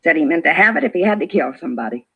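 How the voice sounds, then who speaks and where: A huffy, self-important busybody woman in an old radio drama. Her voice is husky and swoops about as she argues in clipped bursts. The vintage recording is dull. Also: low-pitched, lively intonation, racing, full character voice. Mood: gossipy, indignant. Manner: huffy, argumentative, clipped emphatic phrasing. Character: nosy, prickly, self-important gossiping female neighbor. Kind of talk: 1950s radio drama acting.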